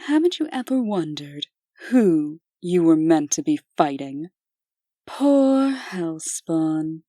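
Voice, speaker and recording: A woman plays a condescending warrior huntress in a comic dub. Her voice is low and mellow, close and dry. She taunts coolly, as if her prey were beneath her. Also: very animated, dry recording, exaggerated voice. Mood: mocking. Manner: slow, mocking, condescending.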